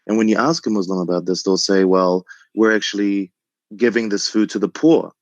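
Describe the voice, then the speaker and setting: A man in his thirties from Egypt calls in to the show. He has a low voice and speaks earnestly with many ums. The line sounds dry and muffled. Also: fairly quick, dry recording. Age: 30s. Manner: relaxed, earnest, laughs often, many ums. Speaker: caller. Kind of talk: casual phone call chat.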